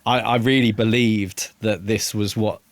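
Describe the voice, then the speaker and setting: A man's voice: fairly low pitch, fairly even intonation, a little slow, dry recording. A man co-hosting a podcast, casually reviewing a book with tentative, circling phrasing. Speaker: podcast co-host.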